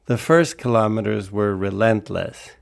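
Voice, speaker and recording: A man's voice: on the low side, unhurried, creaky. A man from Spain hosting a travel podcast, reading scripted narration about his journey.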